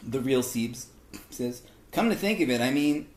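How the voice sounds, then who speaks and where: A man reading a humorous online thread aloud in an animated way. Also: medium pitch, measured pace. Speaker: reader of online posts. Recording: echoey, roomy sound.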